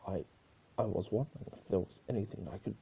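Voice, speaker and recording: A man's voice: fairly low pitch, fast talker, gravelly, mellow, husky, heavily acted. A man voicing a nervous young male pony guard who stammers and pleads deferentially in a story reading.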